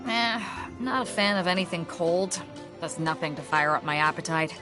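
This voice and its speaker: A woman's voice: lowish voice, normal speed, natural voice. An actress playing a bold, easygoing female ship captain in a video game, with a confident, hearty manner. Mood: relaxed, cheerful. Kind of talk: video game character voice lines. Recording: music behind the voice.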